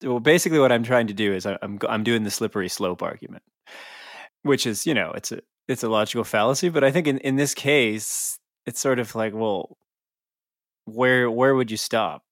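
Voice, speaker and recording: A man's voice: mid-range voice, moderate pace, no room sound, studio quality. A man co-hosting a podcast in casual sports discussion, talking in a conversational, argumentative way. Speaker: podcast co-host.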